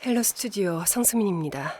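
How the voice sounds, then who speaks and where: Woman as a deadpan, mid-pitched team leader. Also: a little fast, background noise, natural voice. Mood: polite, flat. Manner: blunt, deadpan. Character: curt, unimpressed female team leader of thirty-four. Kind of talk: Korean audio drama acting.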